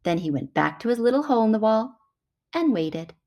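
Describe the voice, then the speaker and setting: A woman reading a children's picture book aloud in an animated storytelling manner. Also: on the high side, fairly quick.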